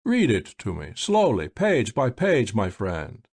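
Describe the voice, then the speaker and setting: A man's voice: fairly low pitch, huge pitch swings, slow, darkish tone, husky, full character voice. A man voicing a sly, flamboyant blind male stage magician with grand, theatrical exclamations.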